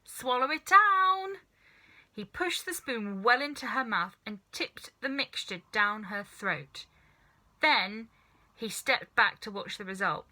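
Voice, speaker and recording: Bright-voiced woman reading a children's story. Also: mid-range voice, unhurried. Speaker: storybook reader. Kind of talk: scripted, expressive children's story narration.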